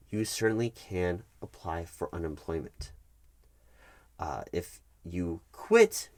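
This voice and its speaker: Male, low voice, dry close sound. Plays a firm corporate HR manager in a conversational office roleplay, measured and officious. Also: a little mellow, no echo. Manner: measured, explanatory, officious, halting emphasis. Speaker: roleplay performer as HR recruiting manager. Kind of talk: one-sided ASMR office roleplay, conversational.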